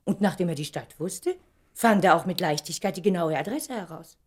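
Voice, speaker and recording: An actress in a German radio play playing a proud, probing woman who speaks in a deliberate, pointed, dramatic way. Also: lowish voice, lively intonation, a little mellow, breathy edge. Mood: troubled, urgent. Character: Valerie, proud woman, probing, articulate, emotionally insistent.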